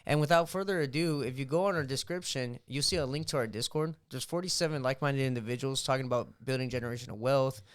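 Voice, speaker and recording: A man's voice: medium pitch, quick pace, hardly any pauses, smooth. A young man hosting a podcast, talking in a casual, slangy way and animatedly retelling what happened. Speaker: podcast host.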